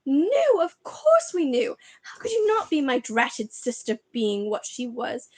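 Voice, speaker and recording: A woman's voice: on the high side, sing-song, measured pace. Shrieking resentful middle-aged aunt, voiced by a woman narrator. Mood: ranting. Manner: shrieking, ranting.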